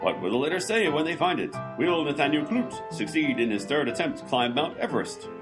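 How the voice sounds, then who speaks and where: An actor playing a mock-dramatic cliffhanger announcer for a radio serial, delivering theatrical rhetorical questions. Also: male voice, fairly high pitch, very animated, brisk. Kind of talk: old-time radio announcing. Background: plucked string music.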